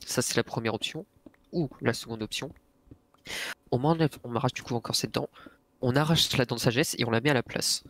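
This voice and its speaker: Hesitant young man explaining slowly. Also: medium pitch, a bit bright. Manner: slow, hesitant explaining with many fillers. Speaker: friend in voice chat. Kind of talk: casual voice chat.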